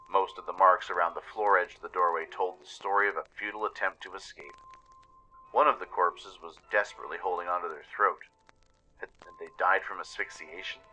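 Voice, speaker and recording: A man narrating a scripted first-person story dramatically, with deliberate emphasis. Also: low voice, sharp tone, rough recording.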